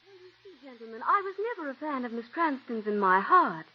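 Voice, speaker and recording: Pleading starlet, high bright voice, breathless and emotional, old radio play, muffled sound. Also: female voice, sing-song, fairly quick, husky, full character voice. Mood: haughty. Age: young adult. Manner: breathless, pleading, breaks into sobs. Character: young ambitious actress, tearful and pleading. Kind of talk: 1940s radio drama acting. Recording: dull old radio broadcast.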